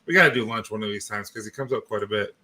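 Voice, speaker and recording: A man's voice: fairly low pitch, very fast, crowd noise. A man in his forties hosting a casual livestream, greeting viewers by name and laughing often. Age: 40s. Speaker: livestream host.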